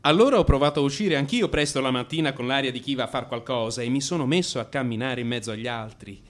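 An actor playing a troubled, melancholy man in crisis in a radio drama monologue, brooding and introspective, blaming himself in halting, repeated phrases. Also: mid-range voice, natural voice. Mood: anxious, lost.

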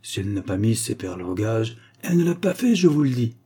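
A man reading the part of a spirited young woman in a story, firm, energetic and defiant. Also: on the low side, brisk, breathy edge. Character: spirited, bold young woman defending the innocent.

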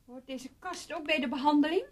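A woman's voice: high voice, a little fast, heavy background noise, natural voice. A woman acting in a Dutch radio play as an indignant, wounded woman who speaks earnestly and takes offence.

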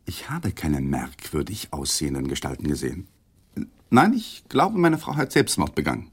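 A deep, guarded suspect husband. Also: male voice, very animated, normal speed, slightly rough, full character voice. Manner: clipped, evasive answers that turn defensive. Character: tense, guarded male husband of a dead wife. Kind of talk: 1980s German radio drama acting.

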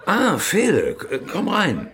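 An actor playing a jovial, coarse, cynical friend in a radio drama, with a raspy voice and a breezy drawl. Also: male voice, sits high, huge pitch swings, slow, crowd noise, background noise, exaggerated voice. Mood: jovial welcome. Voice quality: very raspy, gravelly. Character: jovial, cynical man, the hero's drug-supplying friend.

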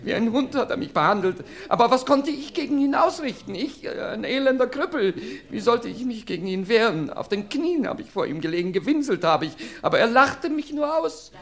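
A cowering, crippled brother in the dock. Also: male voice, very high voice, sing-song, heavily acted. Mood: desperate pleading. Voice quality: halting, pleading, breaks into sobs. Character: George Fenwick, crippled, cowering, desperate brother. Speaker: witness under cross-examination. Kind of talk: radio play acting.

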